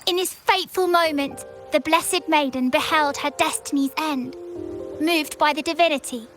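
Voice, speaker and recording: An earnest young child in a video game, rehearsing as a storyteller. The voice is high and particular about its words. Soft music sits in the background. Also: female voice, normal speed. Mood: solemn, reciting. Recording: faint music behind the voice.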